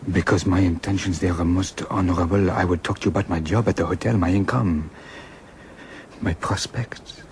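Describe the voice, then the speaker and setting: A man's voice: low voice, fairly even intonation. A man playing a gallant, hesitant French duke with a French accent in an audio drama. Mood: wistful, earnest. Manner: halting, courtly. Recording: faint background noise.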